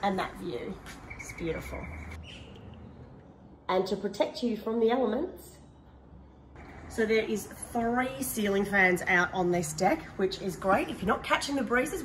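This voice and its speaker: A woman's voice: mid-range voice, a little slow, long pauses, fairly bright tone, slight background noise. Woman presenter, upbeat walk-through, echoey empty rooms, heard from a few steps off. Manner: upbeat, pointing things out as she walks. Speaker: prize home tour presenter. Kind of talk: walk-through house tour. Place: large empty modern house, indoors and on a deck. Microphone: handheld camera following her at a distance. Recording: echoey rooms, voice a bit far from the mic.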